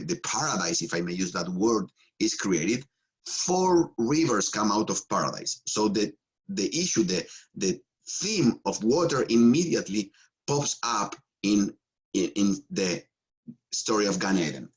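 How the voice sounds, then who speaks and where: A man's voice: mid-range voice, poor audio. A man from Argentina with an Argentine Spanish accent, a rabbi giving a Torah teaching with fillers, restarts and light jokes. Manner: joking, halting, many fillers and restarts.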